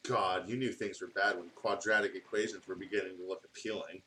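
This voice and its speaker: A man's voice: low voice, fairly even intonation, brisk, sharp tone, slight background noise. A man reading a story aloud in a jokey, casual way, as a host who chats informally as he goes. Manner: informal, sweary, breaks off to riff. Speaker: gaming channel host reading fan fiction aloud. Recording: music playing behind the voice. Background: music.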